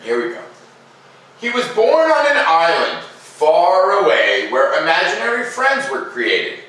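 A middle-aged man hosting a children's read-aloud, mixing expressive picture-book reading with informal, casual asides. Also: sits high, unhurried. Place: living room by a fireplace, indoors. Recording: echoey room, distant mic, faint noise.

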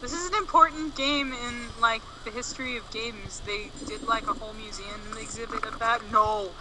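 A woman's voice: fairly high pitch, fairly even intonation, normal speed, background noise. A woman co-commentating a let's play, teasing and sarcastically backseat-gaming the player. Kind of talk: casual gameplay commentary.

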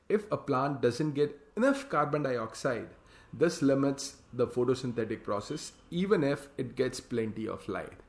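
A man's voice: mid-range voice, a little mellow, echoey room. A man giving a slide lecture on farming, reading the slide text aloud and then explaining it in plain words. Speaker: hydroponics farm consultant presenting slides.

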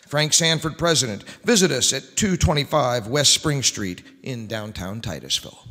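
A man's voice: medium pitch, lively intonation, moderate pace, sharp tone. A middle-aged man hosting a radio show, reading scripted copy in a formal, projected old-time announcer style. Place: large wood-panelled indoor hall, at a lectern. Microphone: microphone on a stand. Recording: reverberant hall.

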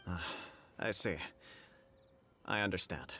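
An actor playing a courteous government official in a video game, speaking in a polite, formal, measured way. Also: male voice, deep voice, bright, forceful tone, slight background noise, full character voice. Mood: polite, accepting. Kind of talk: scripted video game voice acting.

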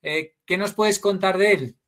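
A man with a high voice moderates a panel over a video call. He is lively and joking, and fires off one question after another. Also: a little slow.

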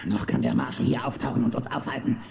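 A gravelly, gloating villain boss. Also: male voice, mid-range voice, very animated, fast talker. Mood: menacing, boastful. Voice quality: gravelly, rasping. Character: gloating, irritable male outlaw boss, boastful and menacing. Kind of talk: German children's audio drama acting. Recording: muffled phone-like band, echoey, faint noise.

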